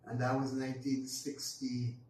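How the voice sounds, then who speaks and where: An older Barbadian man in his eighties recalls his past in a steady, unhurried voice, full of pauses and restarts. He sounds set back from the microphone in a very echoey room, with a faint hiss of noise behind him. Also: mid-range voice. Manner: unhurried, with frequent ums and restarts. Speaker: guest. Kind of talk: reminiscing storytelling in conversation. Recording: roomy echo, distant mic, faint noise.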